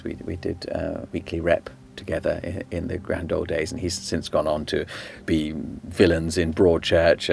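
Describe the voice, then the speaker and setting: A man with a low voice speaks in a behind-the-scenes interview. He is reflective, with emphatic phrasing. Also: a little mellow.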